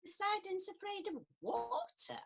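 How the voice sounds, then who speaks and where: A woman's voice: very high voice, expressive, measured pace, gravelly, fairly bright tone, exaggerated voice. A woman playing an earnest, eager and caring new counsellor in a comedic radio play, with a prim manner. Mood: earnest, concerned.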